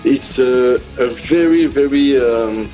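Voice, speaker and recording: A man in his forties with a French accent, a guest musician speaking slowly and hesitantly in English. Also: mid-range voice, warm tone, faint music behind, low-quality recording. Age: 40s. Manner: slow, hesitant, pausing.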